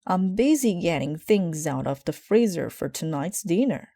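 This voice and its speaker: A busy mother bear from a picture book, acted out by a woman reader. She sounds flustered and harried, her pitch lifted, with a mellow, dry tone. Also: big pitch swings, moderate pace, dry recording. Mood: harried, calling out.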